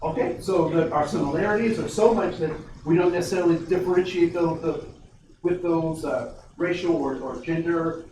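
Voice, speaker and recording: Energetic male trainer in his 40s, high voice, distant and reverberant room sound. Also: moderate pace. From Ohio, USA. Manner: energetic, questions the audience, frequent restarts. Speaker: diversity trainer. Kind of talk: interactive workshop talk. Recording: very echoey, distant, faint noise.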